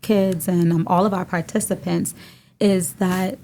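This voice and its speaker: A Bahamian woman co-hosts a podcast from a studio room, thinking out loud with frequent fillers and restarts. Her voice is warm and mellow, set a little low, with a faint hum behind it. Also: steady tone, unhurried. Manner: reflective, many fillers and restarts. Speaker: podcast co-host. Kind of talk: casual podcast conversation.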